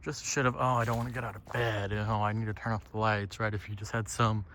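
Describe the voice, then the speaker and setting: A man's voice: fairly low pitch, flat delivery, quick pace, a bit bright. A man giving a casual, rambling, reflective monologue, musing and swearing freely as he goes. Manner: musing, profane, often tags phrases with "right?". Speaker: solo podcaster. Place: outdoors on a lakeside trail. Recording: outdoor ambient noise, birds. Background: outdoor ambience with birds.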